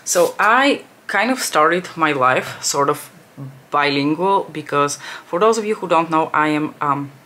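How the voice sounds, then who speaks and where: A woman's voice: deep voice, expressive, measured pace, sharp tone. A young woman chatting casually through a makeup tutorial, rambling and correcting herself as she works. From Bulgaria. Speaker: beauty vlogger.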